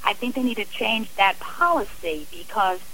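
A woman's voice: medium pitch, expressive, normal speed, pushed and bright, slight background noise, rough recording. A woman speaking earnestly and emphatically as a guest in a radio interview. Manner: emphatic, impassioned.

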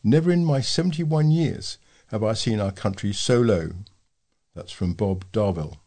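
A man's voice: lowish voice, moderate pace, mellow. A man reading readers' letters aloud as a volunteer newsreader, working from a written script. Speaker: volunteer newsreader for a talking newspaper.

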